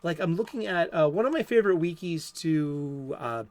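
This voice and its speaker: A man's voice: on the high side, expressive, moderate pace, darkish tone. A man with a Boston accent hosting a casual solo comic book talk show, rambling with many fillers and restarts. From Boston area, USA. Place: home studio.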